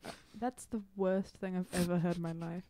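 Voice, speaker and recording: A woman's voice: fairly low pitch, steady tone, brisk, warm tone, slight background noise. A woman playing a tabletop game, bantering casually in character with an emphatic, slangy delivery.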